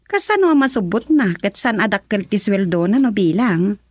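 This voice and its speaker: A woman's voice: mid-range voice, big pitch swings, moderate pace, darkish tone, husky, dry recording. A woman acting a skeptical townswoman in a radio comedy drama, speaking conversationally and questioningly with an Ilocano accent.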